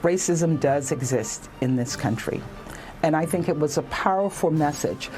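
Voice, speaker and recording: A woman giving a formal, measured answer to an interview question. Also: deep voice, a little mellow, faint music behind.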